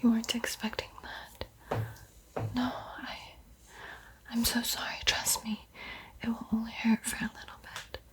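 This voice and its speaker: A woman playing a soothing but secretly hungry vampire art teacher in a breathy, coaxing whisper for an ASMR roleplay. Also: fairly high pitch, completely flat delivery, lightly acted. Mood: hushed, apologetic. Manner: slow, hushed, coaxing, hesitant pauses.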